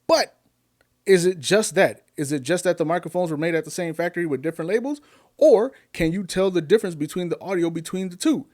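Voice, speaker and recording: A man's voice: high-pitched, a little fast, darkish tone. A man talking casually to camera in a home studio, upbeat and chatty, asking viewers lots of questions, recorded close on a desk condenser mic. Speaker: YouTube tech reviewer. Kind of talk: casual vlog talk to camera. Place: home studio with gear behind. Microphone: desk-mounted condenser mic on an arm.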